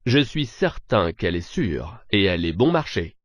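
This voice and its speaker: A man's voice: deep voice, big pitch swings, normal speed, no room sound, dull audio. A man acting as an assured character in a scripted language-lesson dialogue. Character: assured man, car buyer or dentist.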